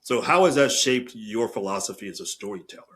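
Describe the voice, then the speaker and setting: A man's voice: fairly low pitch, lively intonation, fairly quick, fairly bright tone. A man hosting a podcast interview in a conversational style.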